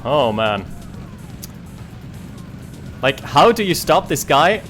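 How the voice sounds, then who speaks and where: Joking, lively game caster man. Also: a little high, soft background music. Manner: lively, joking, quick reactions. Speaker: esports caster. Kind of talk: casual game commentary.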